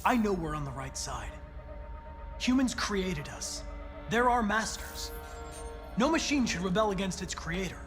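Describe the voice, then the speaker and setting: An actor playing an earnest android detective in a video game drama, speaking in measured, precise phrases. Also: male voice, high-pitched, expressive, over music. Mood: resolute. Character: earnest male android detective with troubled doubts.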